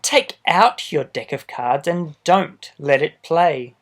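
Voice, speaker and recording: A man reading a children's storybook aloud expressively, adding gentle asides for young listeners. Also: fairly high pitch, slow. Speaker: storybook reader. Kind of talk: children's picture book read-aloud.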